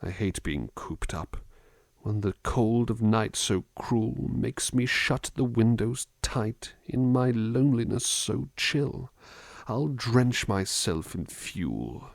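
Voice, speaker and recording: A man playing a gentle, attentive butler in an ASMR roleplay, musing in a polite, hesitant, formal way into a close microphone. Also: medium pitch, animated, slow pace, gravelly, no echo, heavily acted. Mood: somber reading. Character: gentle, musing, attentive male butler looking after someone. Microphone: close dry microphone.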